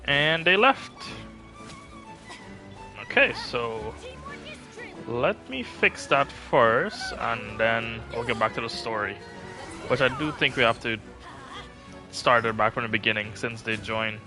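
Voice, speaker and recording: A man's voice: mid-range voice, takes it slowly, pauses often, sharp tone. A man giving casual, rambling livestream commentary, thinking aloud as he plays a game. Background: faint game music.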